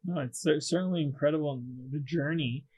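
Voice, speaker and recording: A man hosting an interview, asking questions in a measured, hesitant way. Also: a little high, a little mellow, slightly rough. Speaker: podcast host, psychiatrist.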